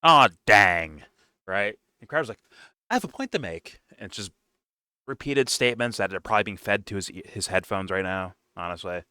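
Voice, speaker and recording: A man in his twenties hosting a casual reaction show, talking in an animated way, swearing and laughing often. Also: fairly low pitch, normal speed, smooth, no room sound, clean studio sound. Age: 20s.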